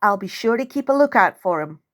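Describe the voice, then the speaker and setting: A woman's voice: mid-range voice, lively intonation, brisk, natural voice. A woman voicing a nervous, polite young boy who stammers a little in a storybook reading. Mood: uneasy.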